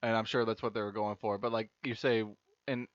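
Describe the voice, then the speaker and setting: A man's voice: lowish voice, flat delivery, a little fast, no room sound. A young man giving casual, rambling let's-play commentary as the host of a gaming video. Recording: dry, slightly dull lo-fi mic.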